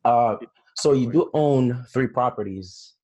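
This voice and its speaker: A man's voice: medium pitch, slow, darkish tone, husky, low-quality recording. A man in his late twenties hosting a casual interview, speaking in a friendly, encouraging way. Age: 20s. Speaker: host.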